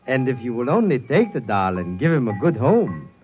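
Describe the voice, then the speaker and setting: Casting: sly, courtly villain doll-maker played by a man, wildly melodic delivery, smooth formality, dull vintage radio sound with music. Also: mid-range voice, big pitch swings, normal speed, lightly acted. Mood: sly, ingratiating. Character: suave, sinister male doll-maker posing as innocent shopkeeper. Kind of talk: 1950s radio drama acting. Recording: dull old radio recording.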